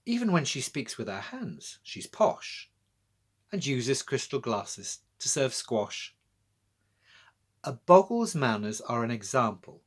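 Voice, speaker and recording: A man reads and talks to children in a very animated voice that rises and falls widely. His medium-pitched voice has a husky, slightly rough edge. He addresses his young audience directly, like a storyteller explaining things between verses. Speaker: poet reading own children's poems. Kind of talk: children's poetry reading with friendly explanatory chat.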